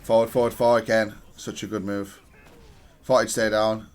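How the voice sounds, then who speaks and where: Low-voiced man giving analytical gameplay commentary. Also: flat delivery, normal speed. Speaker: gamer reviewing own match.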